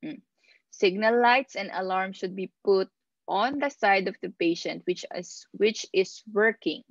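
A woman lectures as an instructor, reading points off slides and then explaining them. Her delivery is slow and halting, with pauses in the middle of phrases, at a medium pitch. The sound is that of a dull, lo-fi video call with very little room echo. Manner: halting, pausing mid-phrase. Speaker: instructor of nursing assistant course.

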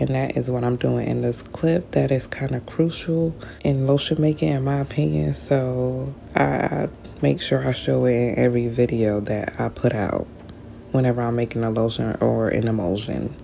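A woman narrates a skincare tutorial, speaking casually and explaining each step straight to her viewers. Her voice sits low and gravelly, with a stuffy, congested edge from recent illness. There is a faint hum of noise in the background. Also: dark tone.

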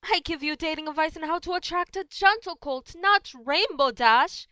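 A woman's voice: extremely high pitch, moderate pace, pushed and bright, no room sound. A woman voicing a refined, dramatic, doting female unicorn in an amateur audio drama. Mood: shocked, dramatic. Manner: genteel, dramatic.

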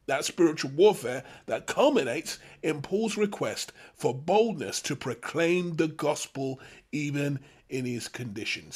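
A man with a fairly high-pitched voice leads a Bible study. He speaks in measured, emphatic phrases, in the manner of someone teaching and praying over scripture. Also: husky.

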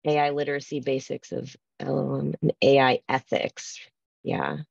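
A woman with a low voice leads an informal online workshop. She talks in a halting, think-aloud way as she reads out responses. The sound is close and dry, slightly dulled by the video call. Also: level tone, gravelly, a little mellow, slightly rough, no echo, highs cut off. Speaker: presenter. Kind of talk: informal conference workshop talk, reading out poll responses. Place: video call from a room with bookshelves. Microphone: computer mic on video call.

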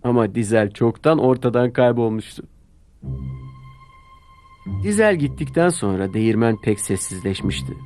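A male narrator with a medium-pitched voice tells a children's tale. His delivery is scripted and expressive, and music plays clearly behind him. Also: moderate pace, long pauses.

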